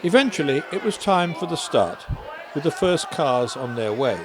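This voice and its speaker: A man's voice: fairly high pitch, sing-song, normal speed, over a noisy crowd, heavy background noise. A man reading a scripted newsreel narration about an event.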